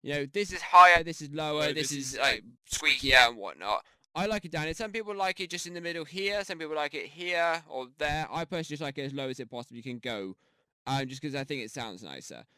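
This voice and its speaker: A man's voice: fairly high pitch, lively intonation, fast talker, pushed and bright, clear, resonant tone, dry recording. A man hosting a casual audio-editing tutorial on a headset mic, rambling with fillers and restarts. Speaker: YouTube tutorial host. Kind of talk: casual screen-recorded tutorial. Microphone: gaming headset mic.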